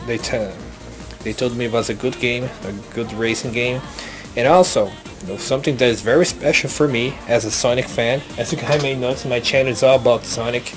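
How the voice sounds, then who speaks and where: Young Brazilian man, Brazilian Portuguese accent, halting and earnest, casual home-made vlog. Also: medium pitch. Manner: halting, many restarts and self-corrections, earnest. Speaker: YouTuber.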